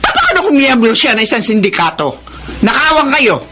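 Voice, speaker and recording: A scolding, suspicious older female cousin. Her pitch is high and very animated, with blunt radio drama delivery. The Filipino recording has clear noise. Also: normal speed. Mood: scornful, scolding.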